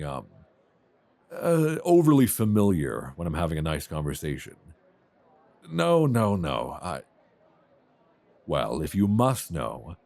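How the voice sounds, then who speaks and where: Man acting as a very deep-voiced, chatty romantic traveler. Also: darkish tone, no room sound, full character voice. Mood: flustered, flirty. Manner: rambling, hesitant, self-deprecating, chuckling. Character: chatty, flustered, warmly flirtatious male airline passenger. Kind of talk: romance ASMR roleplay audio drama. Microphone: close binaural microphone.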